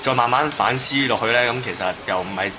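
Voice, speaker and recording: Fast-talking Hong Kong man over background noise. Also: mid-range voice. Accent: Hong Kong Cantonese. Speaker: interviewee. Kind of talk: casual interview chat. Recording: clearly noisy ambient background.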